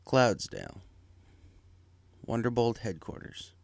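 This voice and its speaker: A man's voice: mid-range voice, wildly swooping pitch, takes it slowly, vocal fry. A man reading scripted fan fiction as its narrator, with music behind his voice.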